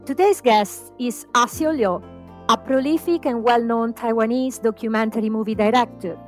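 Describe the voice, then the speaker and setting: A woman hosting a podcast, giving a formal, measured introduction read from notes. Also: a little high, darkish tone, soft background music. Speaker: podcast host. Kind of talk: scripted introduction and background narration.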